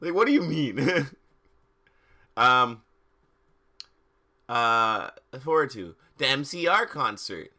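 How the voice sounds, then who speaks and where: A man live streaming, switching between dramatic reading and casual, slangy commentary, often halting, repeating words and sounding exasperated. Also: mid-range voice, big pitch swings, bright, forceful tone.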